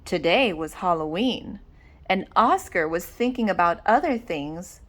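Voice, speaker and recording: A woman reading a children's story aloud with dramatic, deliberate expression. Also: on the low side, animated. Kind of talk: animated read-aloud narration.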